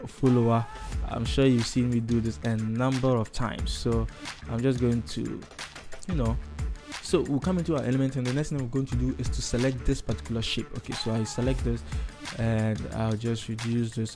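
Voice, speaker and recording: A man's voice: medium pitch, steady tone, moderate pace. A man from Ghana with a Ghanaian accent hosting a casual tutorial, chatty and rambling as he thinks aloud while working. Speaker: tutorial host. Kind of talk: casual screen-recorded software tutorial. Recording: music playing behind the voice. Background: music.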